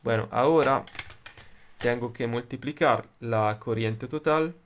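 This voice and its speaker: A man's voice: mid-range voice, dull audio. Italian man tutoring in careful Spanish. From Italy. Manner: deliberate, halting, many fillers. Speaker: electronics tutor. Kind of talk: explaining circuits at a whiteboard.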